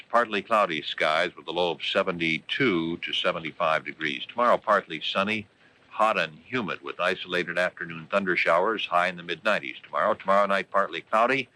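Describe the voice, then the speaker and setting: A man's voice: low voice, expressive, brisk, bright, forceful tone, low-quality recording. An American man reading scripted news and weather on local radio in a formal, emphatic newscaster's delivery. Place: radio studio.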